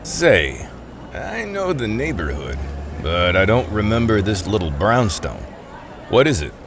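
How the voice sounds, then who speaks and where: A man's voice: fairly low pitch, big pitch swings, unhurried, creaky, noisy background, heavily acted. A man acting in an audio play as a wry, casual middle-aged thief. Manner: casual, wry, hesitant asides. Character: wisecracking middle-aged thief recruited to time-travel.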